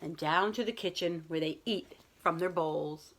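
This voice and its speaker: A woman's voice: lowish voice, measured pace, a bit bright, slight background noise. A woman reading a picture book aloud as a school librarian, talking to young children in simple, explanatory phrasing.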